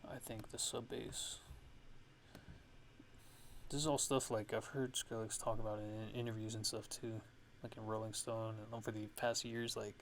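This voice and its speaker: Male producer, lowish gravelly voice, casual ASMR tutorial delivery, halting and thinking aloud, noisy recording. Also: little pitch movement. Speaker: music producer giving a tutorial.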